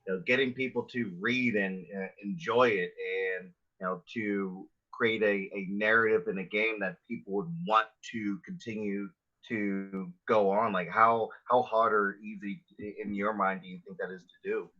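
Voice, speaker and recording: A male moderator with a fairly low but bright voice steers a casual panel. He is affirming and a bit rambling as he hands over. The recording is slightly dull and lo-fi. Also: fairly even intonation, normal speed. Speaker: host, moderator. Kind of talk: casual hosting.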